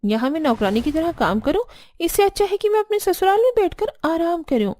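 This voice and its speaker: A woman's voice: very high voice, animated, a little fast, a little mellow. A woman playing a spoiled, complaining young married daughter in a cartoon. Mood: indignant. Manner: complaining, indignant. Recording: background music.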